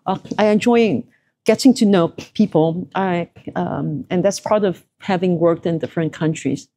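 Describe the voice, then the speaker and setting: A woman's voice: on the low side, moderate pace, dark tone. A middle-aged woman from Southeast Asia, a former executive, answering interview questions in a reflective, conversational way with frequent fillers.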